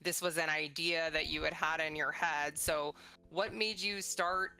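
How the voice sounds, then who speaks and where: A woman's voice: low voice, steady tone, a little slow, sharp tone, rough recording. A middle-aged woman conducting a casual interview in an encouraging, conversational way. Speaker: interviewer, mother of the creator. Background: music.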